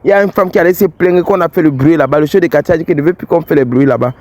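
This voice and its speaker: A man's voice: on the high side, racing, darkish tone, dry recording. A man with an English-speaking Cameroonian accent hosting a fidelity-test vlog, speaking in a blunt, scolding, teasing way. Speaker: fidelity tester vlogger host. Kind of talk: street vlog confrontation.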